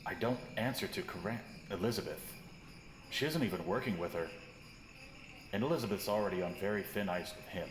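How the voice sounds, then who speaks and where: An actor playing an impatient, menacing conspirator who murmurs terse orders in an audio drama. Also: male voice, deep voice, a little fast, close to an everyday voice. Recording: echoey, quiet, noisy with insect chirps.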